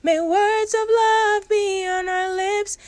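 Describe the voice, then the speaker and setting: A woman's voice: extremely high pitch, fairly even intonation, takes it slowly. A woman singing a devotional hymn to accompaniment. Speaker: singer. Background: instrumental music.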